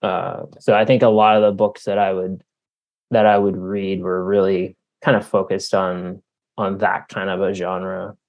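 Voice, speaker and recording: A man with a low, gravelly voice answers interview questions casually. He speaks slowly and haltingly, pausing to think and searching for words as he goes. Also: level tone, darkish tone, muffled recording. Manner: halting, thoughtful, searching for words with long hesitations. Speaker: author being interviewed. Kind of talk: casual reflective interview talk.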